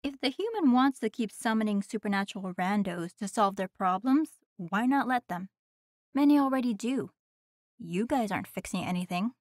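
A woman's voice: medium pitch, animated, normal speed, a little mellow, no room sound, natural voice. Woman playing a sardonic demon, dry close recording. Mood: needling. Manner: dry, teasing, nonchalant. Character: sardonic, teasing, cocky female demon. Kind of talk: audio roleplay acting.

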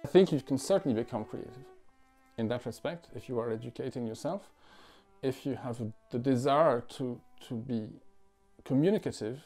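A man in his forties from France, a musician talking thoughtfully at home, with many pauses, fillers and restarts. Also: medium pitch, dark tone, soft background music. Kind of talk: reflective interview talk. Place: living room at home.

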